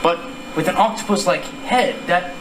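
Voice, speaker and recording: Genial, formal academic man played by an actor, slightly high voice, noisy live radio drama. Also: moderate pace, lightly acted. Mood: intrigued. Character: formal, genial male archaeology professor. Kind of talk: stage radio-drama acting. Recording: echoey distant stage, noisy.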